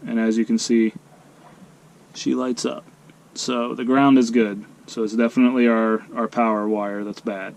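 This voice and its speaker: An easygoing man of middle pitch talks through a wiring job in a truck cab, relaxed and practical, with a faint engine hum behind him. He pauses with fillers while he figures things out. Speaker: channel host. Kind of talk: casual how-to narration.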